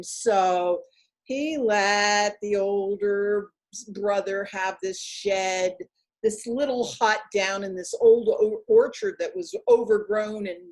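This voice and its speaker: A woman tells a folktale as a live oral performance. Her pace is slow and deliberate, with emphatic pauses. She sits at a middling pitch and delivers the story expressively. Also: darkish tone, rough recording.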